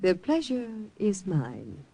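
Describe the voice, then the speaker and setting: An actress playing a composed, inquisitive young secretary in a 1940s radio drama. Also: female voice, fairly low pitch, huge pitch swings, takes it slowly, mellow, husky, exaggerated voice. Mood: polite. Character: young Italian woman secretary, poised and probing.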